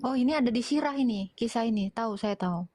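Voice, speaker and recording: A woman's voice: on the high side, quick pace, dark tone. A woman chatting casually as she puts questions to a speaker on a livestream. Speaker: livestream participant asking questions. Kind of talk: casual chat.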